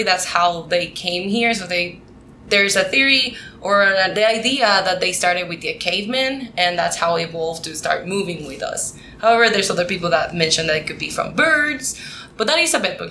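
A woman's voice: mid-range voice, pushed and bright, slight background noise. A young woman from Puerto Rico, a native Spanish speaker with a Puerto Rican accent, talking casually and laughing often as a guest. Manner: quick, laughing, with restarts. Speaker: guest, PhD candidate. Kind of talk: casual interview talk. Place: at a conference.

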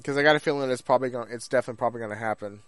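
A man's voice: medium pitch, very fast, a bit bright, no echo. A man co-hosting a podcast in casual chat about anime, rambling along and acting out things people said. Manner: rambling, acts out remembered remarks. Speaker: podcast co-host.

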